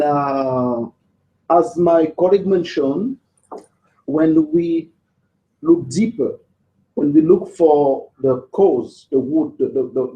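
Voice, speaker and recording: A man from Haiti, talking in a halting way with many fillers and restarts. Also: medium pitch, vocal fry. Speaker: panel participant. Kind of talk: hesitant conversational English. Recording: roomy, slightly dull audio.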